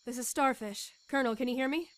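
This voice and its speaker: A woman's voice: sits high, fast talker, fairly bright tone. A woman playing a feisty young rookie soldier in a radio drama, reporting in a wry, clipped, gutsy manner. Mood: wry, confident. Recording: radio drama with music behind.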